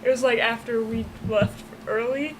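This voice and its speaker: High-voiced teenage girl telling stories. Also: fairly even intonation, normal speed, slight background noise, rough recording. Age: teens. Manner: storytelling with frequent "like". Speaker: guest. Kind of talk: casual chat.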